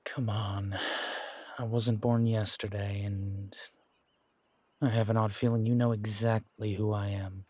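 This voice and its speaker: A man playing a menacing, wry pirate captain in a roleplay audio, speaking in a taunting, deliberate drawl with dramatic pauses. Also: lowish voice, level tone, a little mellow, no echo. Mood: suspicious, taunting. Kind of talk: scripted roleplay audio acting.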